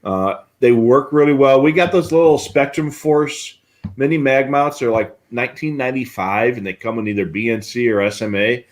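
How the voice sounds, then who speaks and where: A middle-aged American man talks at an average pitch for a male voice. He explains practical things casually, in a folksy, conversational manner, like a co-host on a hobby livestream. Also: normal speed. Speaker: co-host of a radio scanner show. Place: indoor home radio room on a video call.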